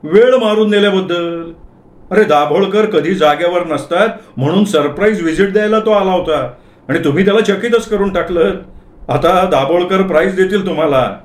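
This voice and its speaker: A man's voice: high voice, normal speed, roomy sound, voice pushed up, close to an everyday voice. A man voicing an eager, deferential office accountant in a comic story, respectful and reassuring. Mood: admiring.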